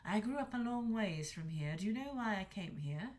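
A woman voicing a meek, sad young male dragon who stammers softly. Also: medium pitch, expressive, normal speed, close to an everyday voice.

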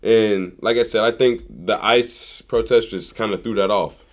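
A young man in his twenties with a very low voice talks as a podcast guest. He rambles and gets animated, circling back and repeating phrases to drive a point home. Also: normal speed. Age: 20s. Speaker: guest, local activist. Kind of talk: casual conversation.

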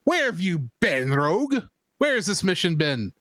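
A man's voice: high voice, very animated, moderate pace, fairly bright tone, dry recording, studio quality. A man co-hosting a casual podcast, chatting playfully and slipping into joking accents and quotes. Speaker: podcast co-host. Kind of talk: casual chat.

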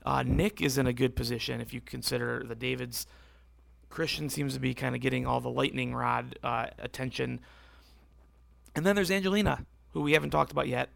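A man hosting a casual solo podcast, rambling and correcting himself as he talks, with a voice that is going hoarse. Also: medium pitch, steady tone, brisk, fairly bright tone, dry recording. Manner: rambling, self-correcting, conversational. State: losing his voice, hoarse. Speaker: podcast host.

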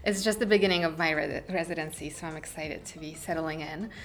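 A young woman from Poland talks in a slightly low voice, pausing and restarting as she thinks. She is a guest on an interview chat, recorded indoors in a studio space. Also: a little hiss or hum. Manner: thoughtful, with frequent fillers and restarts. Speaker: guest artist.

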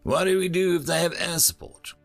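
A man's voice: high voice, fairly quick, gravelly, soft background music, pitched above the performer's own voice. A man voicing an earnest hulking alien fighter. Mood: earnest unsure. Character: large non-human alien male fighter, earnest, flustered.

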